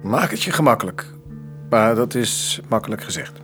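A man's voice: mid-range voice, animated, normal speed, a bit bright, soft background music. A man playing a weary, learned middle-aged father in a radio drama, with a hesitant, explanatory way of talking. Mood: resigned.

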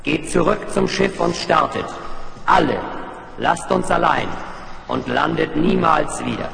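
Ein Schauspieler spricht in einem Science-Fiction-Hörspiel ein strenges Kollektivwesen, das feierlich und förmlich durch einen Besessenen redet. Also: male voice, a little high, lively intonation, creaky, a bit bright, heavily acted. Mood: stern, demanding. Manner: solemn, formal, measured pronouncements. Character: stern collective alien mind speaking through a possessed man. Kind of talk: 1970s German science fiction radio drama acting. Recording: echoing long reverb, faint noise.

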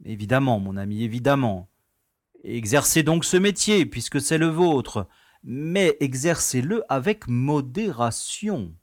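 An actor playing an easygoing, self-important prison director in an audio comedy, speaking in a courteous, formal, paternal way. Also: male voice, medium pitch, animated, a little slow, no echo, studio quality, close to an everyday voice. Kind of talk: French radio comedy acting.

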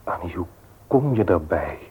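An actor playing a mild, loving but cautious husband in a radio play, speaking in a measured, questioning way. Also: male voice, deep voice, very animated, dark tone, slight background noise. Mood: puzzled. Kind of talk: Dutch radio play acting. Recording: muffled old radio play recording.

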